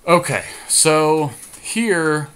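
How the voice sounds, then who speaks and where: A man's voice: on the high side, expressive, extremely slow, fairly bright tone, clean tone. A man co-hosting a podcast, casually commentating on a card game draft and explaining his choices as he thinks aloud. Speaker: podcast co-host.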